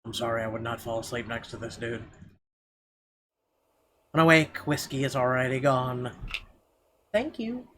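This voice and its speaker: A man's voice: mid-range voice, little pitch movement, normal speed, long pauses, a bit bright, slight background noise. A man hosting a playthrough, reading narration aloud and dropping in wry, sweary asides. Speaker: let's play host. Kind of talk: reading game narration aloud with off-the-cuff comments. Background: game music.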